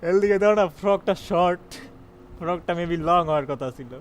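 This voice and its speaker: Very fast, high-voiced Bangladeshi male streamer. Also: slight background noise. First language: Bengali. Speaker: live game streamer. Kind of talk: casual live-stream commentary, mixing in English.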